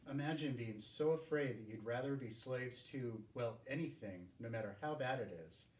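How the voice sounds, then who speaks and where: A man's voice: mid-range voice, normal speed. A man reading scripture aloud with his family in a church service, speaking earnestly in the first person as Moses. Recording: echoey church with faint background noise.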